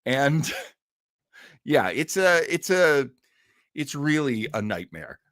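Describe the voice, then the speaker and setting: A man's voice: medium pitch, sing-song, a bit bright, no room sound. Rambling, jokey man hosting, close dry sound. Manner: rambling, halting, jokey asides. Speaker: live show host. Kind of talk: casual chat, reading and answering listener comments.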